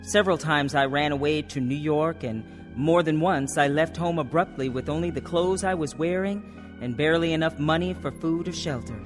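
A woman's voice: low-pitched, normal speed, music in the background, lightly acted. An actress playing a troubled adult daughter who tells her own story in a radio drama. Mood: somber, reflective. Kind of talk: radio drama narration and acting.

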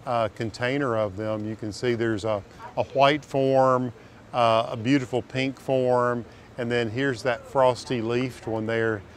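A man's voice: mid-range voice, a little hiss or hum. A middle-aged man hosts a garden show outdoors at a farmer's market, talking enthusiastically and directly to viewers on a lapel mic. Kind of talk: on-location garden tour narration.

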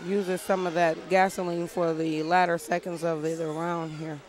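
A man's voice: a little high, level tone, normal speed. A man doing live boxing commentary from ringside in an indoor arena. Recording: loud arena crowd behind voice.

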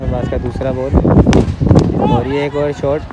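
Slow-talking man commentating, loud outdoor noise. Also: medium pitch. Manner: excited praise of players. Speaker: amateur cricket commentator. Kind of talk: slow, relaxed cricket commentary. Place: outdoor street cricket ground in a city.

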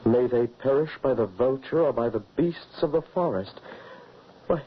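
An actor playing a stern, troubled fugitive father, husky, earnest and formal, in a 1940s radio drama. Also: medium pitch, animated, moderate pace. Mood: grave, troubled. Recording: muffled 1940s radio recording.

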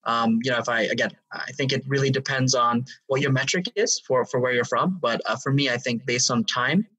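Man explaining calmly, bright husky voice. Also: medium pitch, recorded from a distance, rough recording. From Hong Kong. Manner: measured, explanatory, with frequent fillers. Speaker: guest, AI consultant and lecturer. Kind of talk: interview answers.

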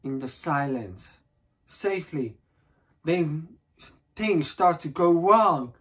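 An anxious dreamer delivers a theatrical monologue, acted by a man. The voice is a bit high and moves very slowly, in short halting fragments, full of self-conscious dread. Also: lively intonation, fairly bright tone, slightly rough, heavily acted. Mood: tense, careful. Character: anxious, self-conscious dreamer dreading their turn before staring eyes. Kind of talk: dramatic stage monologue.

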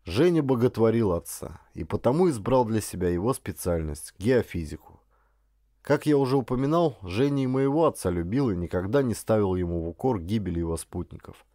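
A male actor narrates a literary adventure audiobook in the first person. His voice is on the low side and mellow, with very varied intonation that carries the storytelling. The sound is dry, with no room around it. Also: expressive, fairly quick, no room sound.

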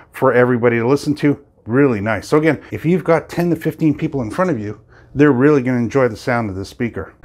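A man's voice: medium pitch, a little mellow. A middle-aged man giving a casual, enthusiastic hands-on product demonstration, explaining as he goes. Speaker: product reviewer. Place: indoor home studio.

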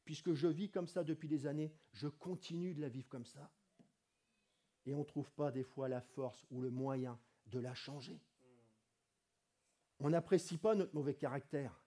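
A middle-aged man preaches in a church hall, his voice a little hoarse from a cold. His voice sits fairly high yet sounds soft and mellow. He talks in a relaxed, conversational way and lifts his voice when he reads scripture. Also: normal speed, pauses often. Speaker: pastor. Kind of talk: sermon. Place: church hall with wooden wall, indoors.

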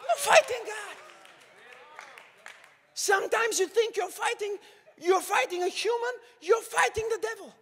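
A young man preaching a sermon to a congregation with a handheld mic, swinging from shouted calls to hushed asides. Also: extremely high pitch, measured pace, long pauses, some chatter behind, a little hiss or hum. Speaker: pastor. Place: large church auditorium with congregation. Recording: long hall reverb from the sanctuary.

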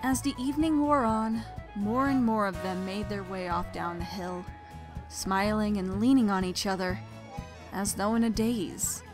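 A woman's voice: medium pitch, steady tone, a little slow, over music. A woman reading scripted story narration expressively as the narrator.

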